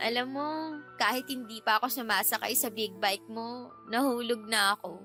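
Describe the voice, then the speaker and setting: An actress playing a feisty young Filipina in love in a radio drama, expressive and colloquial with a Filipino accent. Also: female voice, a little high, measured pace, soft background music, full character voice. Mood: tipsy, pleading.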